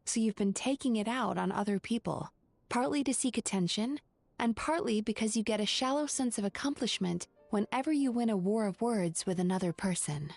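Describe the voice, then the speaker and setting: Calm analytical young therapist from a game, mid-pitched, very articulate, music in the background. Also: female voice, vocal fry, no echo. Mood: firm, clinical. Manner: measured, clinical, articulate. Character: composed, analytical young female dream therapist. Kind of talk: video game voice acting.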